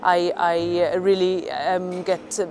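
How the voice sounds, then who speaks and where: A woman's voice: lowish voice, level tone, slow pace, darkish tone, soft background music. A woman politician speaking earnestly with some hesitations.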